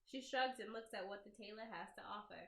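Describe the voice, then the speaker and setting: A woman doing a casual let's play, reading game text aloud and commenting in an expressive, slangy, giggly way. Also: mid-range voice, a little fast, roomy sound. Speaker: game developer playing through her own game.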